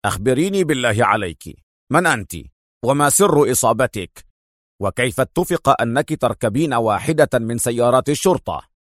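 A gruff, corrupt police inspector who speaks harshly and curtly, in a voice a little lower than usual, as a male narrator plays him. It is a dry, close audiobook recording. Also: lively intonation, a little fast, fairly bright tone, no echo, close to an everyday voice. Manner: harsh, curt. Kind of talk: audiobook character voice.

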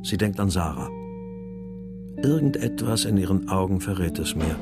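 Low-voiced brooding writer. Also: male voice, animated, normal speed, loud music behind the voice. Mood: brooding, subdued. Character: brooding married male writer, grieving missing daughter. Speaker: narrator and lead character. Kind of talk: first-person radio drama narration and dialogue.

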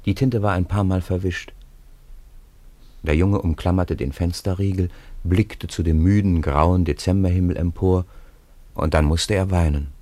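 A middle-aged man calmly narrating a radio drama in a studio, with measured, gently solemn delivery. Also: deep voice. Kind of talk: scripted storybook narration.

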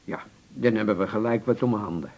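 An actor playing a jovial older man in a Dutch radio drama, folksy and persuasive, with a gravelly, husky voice. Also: fairly low pitch, fairly quick, a little mellow. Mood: coaxing. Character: jovial, coaxing older man courting a companion.

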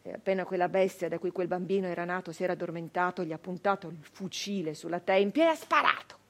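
An actress playing a fierce, embittered nineteenth-century brigand woman in an intense, declamatory theatrical monologue with dramatic pauses. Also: on the low side. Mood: grim. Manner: intense, declamatory, with slow pauses and hushed drops. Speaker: stage actress.

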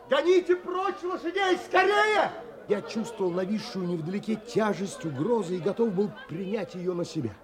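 An actor playing an earnest, troubled adventurer who tells his own story in theatrical, emotionally involved audio drama narration. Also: high voice. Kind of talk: dramatic first-person literary narration.

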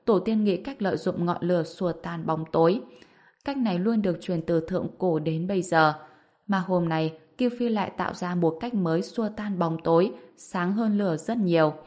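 A woman's voice: lowish voice, warm tone. A woman narrating an audiobook story from a script, voicing the dialogue as she goes.

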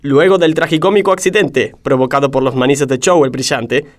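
A man's voice: medium pitch, steady tone, brisk, natural voice. A man playing the announcer who narrates a comic crime radio serial in a declamatory manner. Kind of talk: scripted radio play narration.

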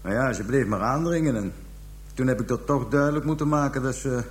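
An actor playing a callous pimp making a halting, coarse confession to police in a radio drama. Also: male voice, medium pitch, natural voice. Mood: callous, scornful. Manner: halting, hesitant storytelling, coarse slang. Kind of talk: radio drama acting, interrogation scene.

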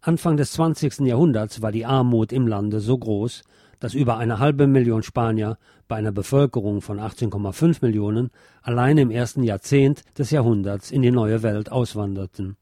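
A man reading scripted history narration as a podcast host, in a measured, factual documentary manner. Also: mid-range voice, dark tone, no echo, studio quality.

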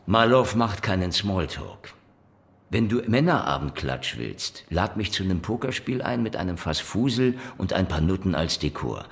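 An actor playing a cynical, aging, hard-boiled Soviet private detective in a radio drama, with a gravelly voice and a sardonic, world-weary manner. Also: male voice, low-pitched, sing-song, normal speed, exaggerated voice. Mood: insolent, blunt. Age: older. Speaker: lead character and narrator. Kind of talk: noir radio drama narration and dialogue.

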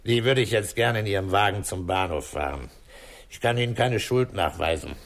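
Low-voiced, commanding police commissioner played by a man. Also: natural voice. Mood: stern, official. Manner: deliberate, authoritative, explanatory. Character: methodical male police commissioner, calm and commanding. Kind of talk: 1960s German radio crime drama acting.

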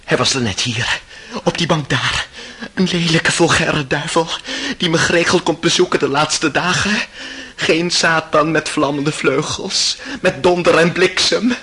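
A man's voice: on the high side, unhurried, pushed and bright, husky, heavily acted. An actor playing a feverish, delirious man haunted by the devil in a radio drama, rambling in a mocking, theatrical way.

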